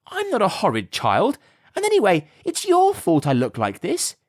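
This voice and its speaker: A man's voice: wildly swooping pitch, normal speed, no echo, studio quality, heavily acted. A man voicing a young girl who talks back, upset and defiant. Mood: indignant. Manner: shrill, indignant.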